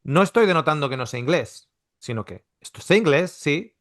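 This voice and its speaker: A man leads a Bible seminar, talking things through with plenty of restarts and "you know?" checks. His voice sits a little high for a man. The sound is dry, with hardly any room around it. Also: expressive, moderate pace, a bit bright, dry recording. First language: Spanish. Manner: explanatory, conversational, with many restarts and rhetorical questions. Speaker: Bible teacher. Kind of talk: seminar teaching. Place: Australia.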